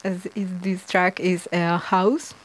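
Woman, Colombian Spanish accent, slow, lowish voice, halting English, guest DJ chat. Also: level tone. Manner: halting, searching for words, laughs often, soft trailing lines. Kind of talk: casual interview in non-native English.

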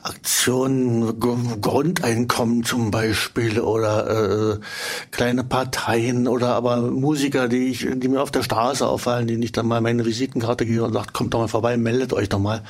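A man speaking as a guest in a radio studio interview, hesitant and filling pauses with "uh". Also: medium pitch, level tone. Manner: hesitant, with frequent fillers.

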